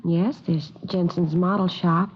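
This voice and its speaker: A woman's voice: lowish voice, fairly even intonation, slightly rough. An actress playing an anxious, polite mother in her early forties in a 1950s radio crime drama. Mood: worried. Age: 40s. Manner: polite, measured, worried. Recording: dull old radio broadcast recording.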